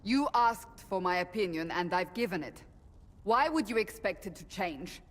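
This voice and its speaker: A woman's voice: medium pitch, expressive, moderate pace, slight background noise, close to an everyday voice. An actress playing a blunt, principled female warrior of a holy order in a game, firm and formal in manner. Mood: exasperated. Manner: firm, formal, matter-of-fact. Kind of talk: dramatic game voice acting. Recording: long reverb.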